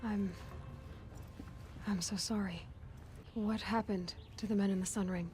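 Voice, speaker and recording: A woman's voice: medium pitch, fairly even intonation, measured pace, mellow, slight background noise, natural voice. A woman playing a young outcast huntress in a video game, earnest and questioning, with sympathy in her delivery. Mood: gentle, curious. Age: young adult. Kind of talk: video game dramatic acting.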